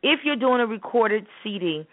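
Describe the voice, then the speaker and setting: A woman's voice: fairly high pitch, expressive, moderate pace, no room sound. A woman speaking in an emphatic, instructive way in an opinionated talk show discussion, repeating phrases for stress. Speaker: co-host of a spoken word business show; spoken word artist. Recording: muffled narrowband phone-line sound.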